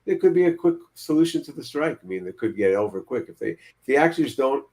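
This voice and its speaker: A man's voice: mid-range voice, sing-song, fast, darkish tone. An older man of about seventy co-hosting a casual panel chat over a video call, rambling and repeating himself. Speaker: podcast co-host. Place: room at home, indoors.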